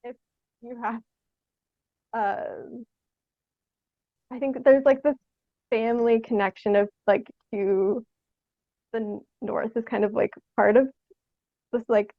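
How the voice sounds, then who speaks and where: A woman's voice: on the high side, steady tone, slow, pauses often, no room sound, muffled recording. A young woman, answering interview questions casually in a hesitant, self-effacing way. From Ontario, Canada. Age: young adult. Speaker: interview guest, theatre artist.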